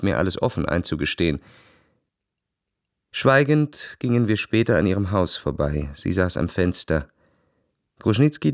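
A literary radio drama voice: a proud, cynical officer narrating his own affairs. The pitch sits low and the sound is dry and intimate. His delivery is measured, cool and ironic. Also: creaky, dry recording.

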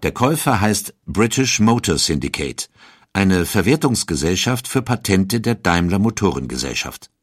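Male narrator for historical audio documentary; husky, expressive, emphatic storytelling, close dry sound. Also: deep voice, normal speed, dry recording.

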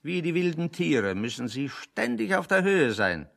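An actor playing a dry, opinionated man in a German radio play, reasoning with deadpan, sardonic deliberation. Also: a little high, a little slow, creaky, full character voice.

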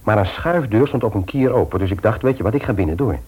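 A man's voice: lowish voice, lively intonation, quick pace, darkish tone, slightly rough. A male radio play actor playing a conscientious family man, speaking naturally, hesitantly and earnestly. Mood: casual, confiding. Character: conscientious, troubled family man and office worker, jovial host. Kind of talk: naturalistic Dutch radio drama acting.

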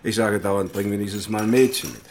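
Actor as low-voiced exasperated father. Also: brisk, close to an everyday voice. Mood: defensive. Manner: defensive, grumbling. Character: protective, exasperated father of grown children. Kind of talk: German crime radio play acting. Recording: clattering noise behind the voice.

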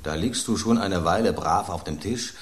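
An actor playing a grumpy, blunt male loaf of house bread in a radio drama, with a gruff, scornful manner. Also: sits low, normal speed, close to an everyday voice. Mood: skeptical. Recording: roomy studio echo.

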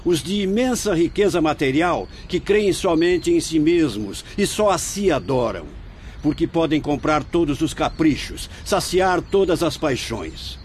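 A man's voice: a little high, expressive, measured pace, a little mellow, slight background noise. An actor playing a grave, fatherly director who lectures his apprentices in a solemn, theatrical radio drama style. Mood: stern, admonishing. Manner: solemn, preachy, theatrical declamation.

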